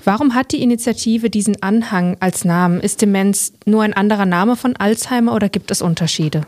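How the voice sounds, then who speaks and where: A woman's voice: medium pitch, fairly quick, a little mellow, no echo. A woman interviewer asking brief, direct questions.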